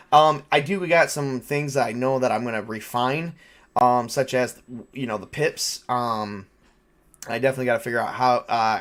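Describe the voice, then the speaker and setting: A man's voice: mid-range voice, a bit bright. A man running a tabletop role-playing session as game master, talking casually and repeating phrases as he thinks aloud. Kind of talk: casual unscripted game narration and chat.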